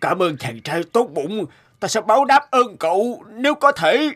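A man's voice: high-pitched, big pitch swings, full character voice. A man voicing a menacing, boastful tiger in a cartoon fairy tale. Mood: grateful. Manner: boastful, taunting, drawn-out threats. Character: menacing tiger who threatens, then pleads. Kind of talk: cartoon voice acting. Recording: loud music bed behind voice.

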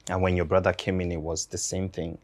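A man acting an earnest, apologetic husband in a film drama, halting and stammering when pressed. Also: low voice, level tone, lightly acted. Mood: stern, concerned. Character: earnest, apologetic husband who gets loudly indignant.

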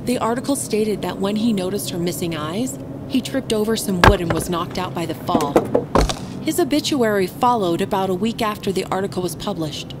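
A woman telling a scary first-person story as scripted narration, in a deliberate, dramatic manner. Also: mid-range voice, animated, heavy background noise. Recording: loud rain and ambience behind voice.

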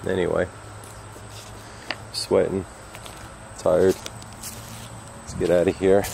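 A middle-aged man with a gravelly voice, talking casually and a little uneasily to viewers in a rambling handheld vlog. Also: low-pitched, level tone, slow, mellow, rough recording. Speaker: urban exploration vlogger. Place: abandoned derelict house and surrounding woods. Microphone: handheld camera at arm's length. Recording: faint outdoor noise with chirping insects.

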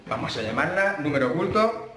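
Lively Spanish man in echoey room. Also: fairly high pitch, brisk. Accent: Spain Spanish. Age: 30s. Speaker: father hosting a family prank vlog. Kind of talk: casual chat to camera. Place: living room sofa, indoors. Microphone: camera a short distance away. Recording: echoey living room, music behind.

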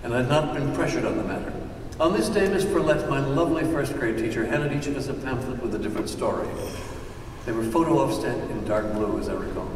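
An older man, an author, giving a deliberate, declamatory reading of prepared text. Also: medium pitch, animated. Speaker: author presenting his book. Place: indoor hall, on stage. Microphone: distant audience camera. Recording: echoey hall from far off, faint noise.

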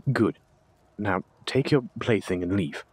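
Man acting a husky, stammering, cowardly duke. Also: lowish voice, expressive, unhurried, a little mellow, no room sound. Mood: wary, scheming. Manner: stammering, pleading, pompous. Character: cowardly, entitled male duke, pleading and indignant. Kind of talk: scripted villain roleplay audio drama.

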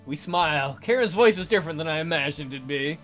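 A man reads visual novel narration aloud as a let's player. His pitch moves in a lively way, and faint guitar music plays behind him. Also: quick pace.

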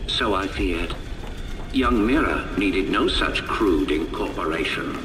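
An actor playing a cold, courtly scientist machine intelligence with a formal, measured, condescending manner, in a video game. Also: male voice, low voice, animated, far from the mic, over music. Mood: smooth, probing. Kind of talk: dramatic video game acting.

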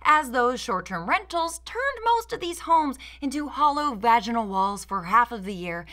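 Bright, lively American woman, sardonic narrator. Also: on the high side, moderate pace. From Michigan, United States. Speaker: video essayist and host. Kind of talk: scripted video-essay narration.

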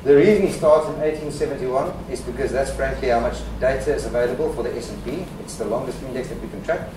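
A man's voice: mid-range voice, fast talker. A man giving a conference presentation, joking dryly, with fillers and restarts. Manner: wry, joking asides with fillers. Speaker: conference speaker. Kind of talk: presentation talk. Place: conference hall. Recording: echoey hall from far off, background noise.

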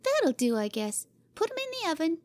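A woman voicing a bossy, excitable little girl in a storybook reading, shrill and commanding. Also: normal speed, no echo, studio quality, exaggerated voice. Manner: shrill, imperious, gleeful.

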